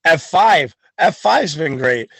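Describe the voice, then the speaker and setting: A man's voice: on the high side, lively intonation, slow, gravelly, sharp tone, slightly rough, no room sound. A man talking as a wrestling guest on a podcast, boastful and hyped, full of swagger. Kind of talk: podcast chat.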